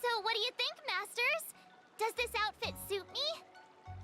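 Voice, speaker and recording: A woman voicing an eager, bubbly teenage girl apprentice in an anime game, earnest, polite and expressive, with music behind her. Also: very high voice, measured pace. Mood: happy, curious. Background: game background music.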